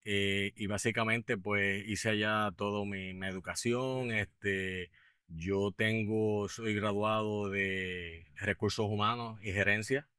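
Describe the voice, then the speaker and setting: A man in his fifties with a Puerto Rican accent, a guest in a podcast interview, talking with many pauses, fillers and restarts. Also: lowish voice, fairly even intonation, pushed and bright, clean tone, dry recording, clean studio sound. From Puerto Rico. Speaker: guest, business owner.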